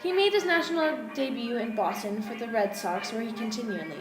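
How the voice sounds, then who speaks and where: A woman's voice: a little high, expressive, brisk. A young woman reading scripted newsreel narration as a student presenter. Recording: roomy echo, music under voice. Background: clearly audible music.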